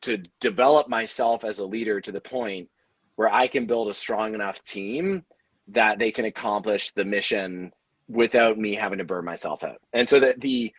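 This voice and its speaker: A man's voice: fairly low pitch, normal speed, slightly rough, no echo. A man speaking earnestly and conversationally on a panel, explaining how he leads his team. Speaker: audio drama company leader on a panel.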